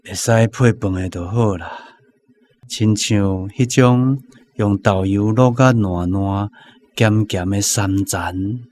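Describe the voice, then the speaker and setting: An actor with a Taiwanese accent playing a worn, sickly coal miner father, gruff but caring, halting and plain-spoken. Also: on the low side, a little mellow. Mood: weary, sheepish.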